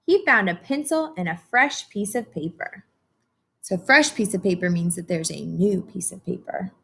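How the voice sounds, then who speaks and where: A woman reading a picture book aloud to young children as a teacher does, pausing to explain and ask simple, encouraging questions. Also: medium pitch, vocal fry, fairly bright tone, slightly rough.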